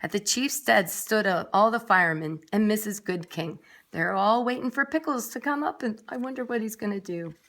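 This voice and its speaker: A woman's voice: medium pitch, moderate pace, fairly bright tone. A woman reading a children's story aloud expressively, with dramatic emphasis and little asides for young listeners. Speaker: nursery school story reader.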